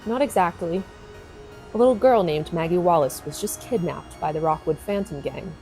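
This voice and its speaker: A woman's voice: lowish voice, normal speed, mellow, soft background music, natural voice. A woman playing a tough, wry homicide detective in an audio drama, dry and no-nonsense. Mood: urgent.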